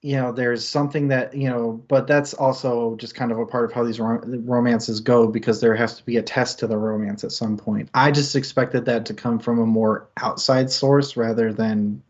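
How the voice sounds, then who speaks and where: A man's voice: mid-range voice, little pitch movement, normal speed, few pauses, darkish tone. Rambling man, muffled stream audio. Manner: rambling, lots of fillers and restarts, chuckles often. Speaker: book club co-host. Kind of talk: casual book discussion. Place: home setup, streamed discussion.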